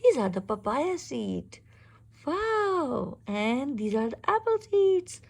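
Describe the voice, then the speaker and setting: A woman reads a children's picture book aloud in an expressive, instructive way, pausing to ask questions. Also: sits high, creaky. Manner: expressive, instructive, exclaims and checks understanding. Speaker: reader teaching a young child.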